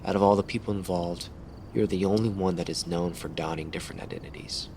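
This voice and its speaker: A man playing a determined, tough police detective in an audio drama, firm, deliberate and confrontational. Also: low voice, fairly even intonation, gravelly, darkish tone, slight background noise. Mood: accusing.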